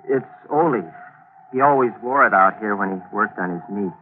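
A man's voice: on the low side, normal speed, natural voice. A man in a 1940s radio drama playing a scheming plotter who also narrates, clipped and commanding. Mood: evasive. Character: cool, scheming male schemer plotting a cover-up. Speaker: narrator and lead character. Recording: tinny old radio broadcast with hiss.

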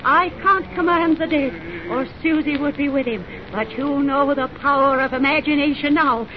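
An actress playing a vengeful, insinuating bride with deliberate theatrical delivery in an old-time radio suspense melodrama. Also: female voice, close to an everyday voice. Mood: vengeful, frenzied. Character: cold, vengeful bride trapping her brother's tormentor. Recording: muffled, noisy vintage radio recording with rumble.

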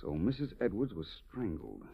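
A man's voice: low voice, huge pitch swings, moderate pace, creaky, breathy edge, heavily acted. A man playing a suave, unflappable private detective in a 1940s radio drama, polite, wry and understated. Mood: thoughtful, assured. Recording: muffled 1940s radio recording.

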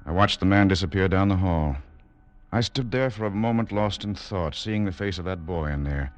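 An actor playing a composed, coolly secretive military doctor in an old radio drama. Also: male voice, sits low, moderate pace, lightly acted. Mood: brooding. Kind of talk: 1950s radio drama acting and narration.

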